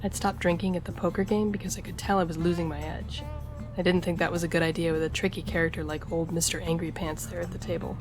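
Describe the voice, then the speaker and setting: Casting: actress as roguish female drifter and gambler, lowish voice, teasing charm, intimate roleplay delivery over clear background music. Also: level tone, fast, lightly acted. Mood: relaxed. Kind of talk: intimate audio roleplay addressed to listener. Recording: music behind the voice.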